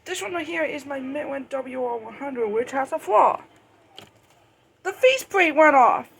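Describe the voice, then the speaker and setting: Hobbyist vlogger with a high-pitched, gravelly-edged voice; informal, meandering, stop-start delivery, correcting along the way. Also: expressive, fairly bright tone. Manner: rambling, halting, self-correcting. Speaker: hobbyist video creator. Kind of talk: casual unscripted show-and-tell of weather radios. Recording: noisy amateur home recording.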